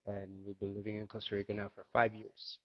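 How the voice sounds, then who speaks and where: A man in his forties, born in Argentina and raised in Miami, telling his life story as a guest, thoughtful and halting, often restarting his sentences. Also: on the low side, monotone, lo-fi sound. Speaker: guest, yoga instructor and coach. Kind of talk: reflective personal storytelling.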